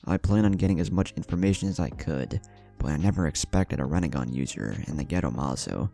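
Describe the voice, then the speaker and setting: A man's voice: rumbling low, lively intonation, a little fast, creaky, mellow, soft background music, heavily acted. A man voicing a cocky, cunning, taunting young ninja in a narrated fan story. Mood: pondering.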